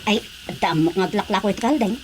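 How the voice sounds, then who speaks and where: A woman's voice: medium pitch, fast talker, slight background noise, natural voice. A woman playing a nosy, chatty, opinionated village woman in a comic radio drama, speaking with an Ilocano accent. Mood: curious.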